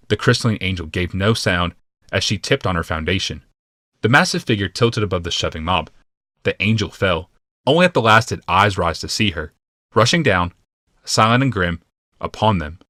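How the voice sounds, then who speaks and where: Low, forceful male audiobook narrator. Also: lively intonation, moderate pace, no room sound, clean studio sound. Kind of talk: scripted dramatic narration of fantasy fiction.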